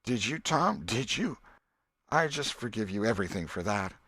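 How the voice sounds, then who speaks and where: An excitable old aunt from the rural American South, acted out by a male audiobook reader, raised in pitch and emphatic. She is pious, gullible and fond, exclaiming and scolding in folksy country talk. The sound is close and dry. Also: normal speed, no echo, heavily acted. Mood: tender. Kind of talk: audiobook character voice.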